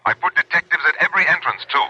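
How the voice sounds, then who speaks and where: An actor playing a worried theatrical producer in a 1970s radio drama, clipped, heard as a telephone voice. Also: male voice, a little high, expressive, very fast, bright, forceful tone, husky, exaggerated voice. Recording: dull old radio, telephone line.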